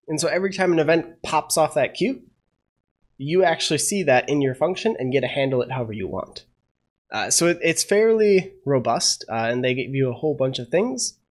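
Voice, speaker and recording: A young man getting over a cold, walking through a coding tutorial as he thinks aloud, with lots of "uh" and unsure asides. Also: mid-range voice. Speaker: programming tutorial host. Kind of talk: casual screen-share coding tutorial.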